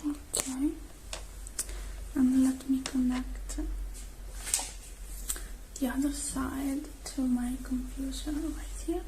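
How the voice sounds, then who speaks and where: A woman doing an ASMR roleplay as a technician, whispering softly and breathily close to the microphone, murmuring gently through each step. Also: sits high, flat delivery, slow, pauses often, very noisy. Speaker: ASMR creator playing a robot technician.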